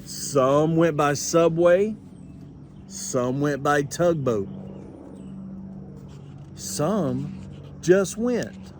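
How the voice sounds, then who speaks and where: Older man, slow animated storybook reader. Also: a little high, slight background noise. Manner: slow, expressive, deliberate phrasing. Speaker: grandparent reading storybooks aloud. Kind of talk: animated children's storybook narration.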